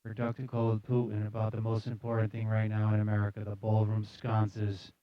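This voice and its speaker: A man's voice: fairly low pitch, monotone, fairly quick, no room sound, muffled recording. A man hosting a casual comedic news show, reading headlines aloud and riffing on them with sarcastic, mock-serious repetition. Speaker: host of a live news commentary show. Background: music playing behind the voice.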